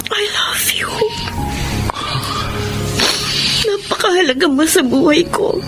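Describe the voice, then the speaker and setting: A woman's voice: very high-pitched, heavily acted, poor audio. A woman acting an emotional, distraught young Filipino woman in a radio drama. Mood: tearful pleading. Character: distraught young woman, remorseful and pleading, then indignant.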